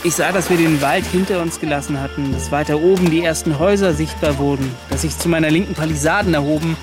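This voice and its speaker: Actor as earnest, higher-voiced young adventurer. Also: male voice, fast talker, close to an everyday voice. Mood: weary, reflective. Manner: impassioned, emphatic, breaks into short repeated questions. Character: earnest, excitable young adventurer recounting his ordeal. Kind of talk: German radio play acting. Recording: music under the voice.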